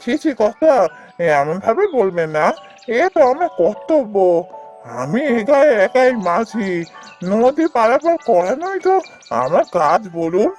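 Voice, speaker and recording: A man's voice: extremely high pitch, big pitch swings, a little slow, darkish tone, soft background music, exaggerated voice. A man voicing a humble, eager village boatman in a cartoon fairy tale, obliging and politely exclamatory. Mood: modest protest.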